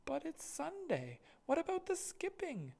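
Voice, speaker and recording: Grown-up putting on a little kid brother, way high pitched, plaintive, storybook. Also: wildly swooping pitch, a little slow, creaky, a little mellow, heavily acted. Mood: pleading. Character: young boy, pleading little brother.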